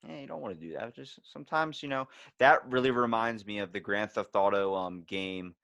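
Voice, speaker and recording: A man's voice: fairly low pitch, steady tone, fairly quick, creaky, a bit bright, no echo, clean studio sound. A man hosting a podcast in casual, joking chat with some swearing. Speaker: podcast host.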